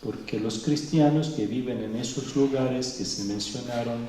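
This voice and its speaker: A middle-aged man from Venezuela speaking slowly and thoughtfully at a public talk, pausing often. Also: mid-range voice, mellow, a little hiss or hum. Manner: slow and thoughtful, with pauses and fillers. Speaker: Orthodox bishop giving a talk. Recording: echoey hall, mic far off.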